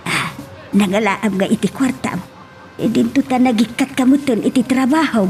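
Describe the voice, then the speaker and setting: A woman's voice: fairly high pitch, moderate pace, darkish tone, faint music behind, close to an everyday voice. A woman playing a fond elderly village mother-in-law with an Ilocano Filipino accent, hesitant, in an amateur audio drama. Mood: delighted. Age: older.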